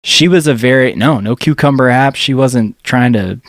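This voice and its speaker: A man's voice: medium pitch, normal speed, dry recording, clean studio sound. A man co-hosting a comedy podcast, telling stories in a rambling way, full of fillers, and laughing easily. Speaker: podcast co-host. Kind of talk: casual comedic chat.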